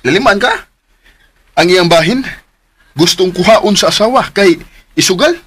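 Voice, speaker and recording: A man with a Cebuano accent voicing an emphatic young man in a radio drama, lovesick and possessive, with a husky voice. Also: high voice, animated, normal speed, fairly bright tone, full character voice. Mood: bluffing. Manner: emphatic, swings between pleading and bluster.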